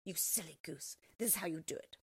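A woman voicing a cruel, greedy old witch with a shrill, bossy manner. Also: fairly quick, breathy edge, no room sound, heavily acted. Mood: menacing. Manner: impatient, bossy.

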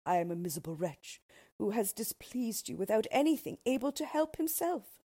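A woman's voice: sits high, sing-song, a little slow, warm tone, voice pushed up, full character voice. Woman putting on an anguished, pleading young king, raised voice. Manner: melodramatic, pleading.

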